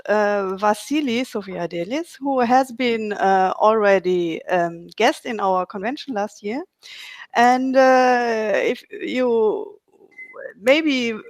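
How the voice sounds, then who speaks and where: A woman hosting a video call interview, speaking haltingly with many fillers. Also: mid-range voice, gravelly, no echo. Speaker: host.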